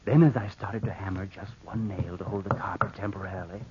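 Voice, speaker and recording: An actor playing a coldly scheming, murderous nephew in an old-time radio drama, speaking with careful deliberation. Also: male voice, low-pitched, fairly even intonation, lightly acted. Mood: sinister, careful. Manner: slow, deliberate, hushed narration and hesitant dialogue. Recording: muffled vintage radio recording with faint noise.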